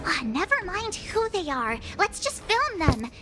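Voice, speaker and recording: A woman voicing a perky, impulsive young girl in a video game, brash and expressive, with loud music behind her. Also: very high-pitched, a little slow, heavily acted. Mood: determined. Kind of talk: video game character acting.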